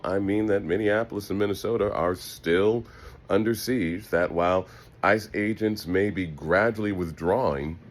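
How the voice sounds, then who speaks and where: A man's voice: sits low, slight background noise. An American man delivering an opinion monologue as a host, in a sardonic, emphatic, profane manner with rhetorical asides. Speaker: political podcast host.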